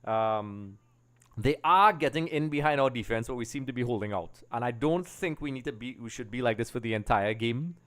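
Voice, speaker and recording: Trini guy streaming a football watch-along, smooth clear voice, chatty and rambly, talking right to viewers. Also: mid-range voice, a little fast, a bit bright. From Trinidad and Tobago. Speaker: livestream host. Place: small home streaming room. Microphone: desk microphone on a boom arm.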